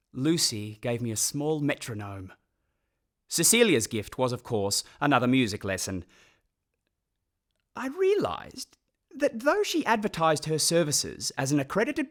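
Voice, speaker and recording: A man's voice: a little high, a bit bright. A man with an Australian accent acting as a wry, solemn narrator looking back on his orphaned boyhood in a radio play. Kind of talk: first-person scripted radio play narration.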